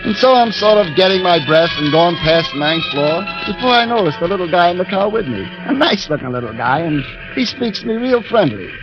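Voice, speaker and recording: An actor playing a naive, eager young elevator operator in a vintage radio drama, earnest, hesitant and slangy. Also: male voice, high voice, animated, brisk, few pauses. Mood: chatty narrating. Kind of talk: 1940s-50s radio drama acting. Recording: dull vintage radio recording with music.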